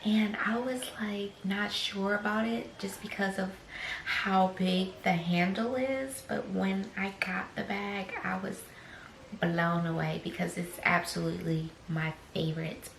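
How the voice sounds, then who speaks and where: A young woman, slightly raspy after choking on a drink, chatting slowly through an unboxing vlog with many fillers and soft whispered asides. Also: fairly low pitch, a little hiss or hum. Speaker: fashion vlogger. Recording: echoey room.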